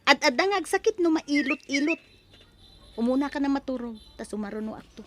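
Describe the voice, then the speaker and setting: A woman's voice: sits high, animated, fairly quick, noisy background. A woman acting a distressed, reluctant young daughter and bride in an Ilocano audio drama, pleading and protesting. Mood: reluctant, weary.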